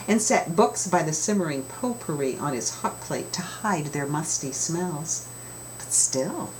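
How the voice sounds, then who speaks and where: A woman reading a children's storybook aloud with expressive, dramatic emphasis, as an author telling her own tale. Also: low voice, a little hiss or hum, poor audio.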